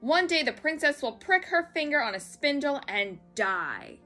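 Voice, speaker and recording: A furious storybook wicked fairy, acted out by a woman reader, high and sharp. She cackles and shrieks with spite, with faint music around her. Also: sing-song, normal speed, exaggerated voice. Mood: spiteful, furious. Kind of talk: storybook character voice.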